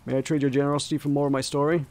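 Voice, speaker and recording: A man's voice: a little high, little pitch movement, very fast, natural voice. A man voicing a polite, weary young man down on his luck, begging for change in a visual novel. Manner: polite, weary, soft-spoken.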